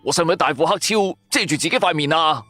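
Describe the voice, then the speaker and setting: A man's voice: a little high, lively intonation, fast, pushed and bright, no echo, soft background music, pitched above the performer's own voice. A man voicing a vain, boastful young sidekick in a Cantonese audio drama, showy and teasing. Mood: smug boasting. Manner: showy, self-satisfied, teasing.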